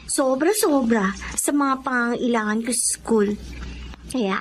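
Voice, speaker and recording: A woman's voice: on the high side, unhurried, slight background noise, lightly acted, rough recording. A Filipino woman playing a carefree, headstrong teenage schoolgirl in a radio-style drama, with a girlish delivery. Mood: grumbling, smug.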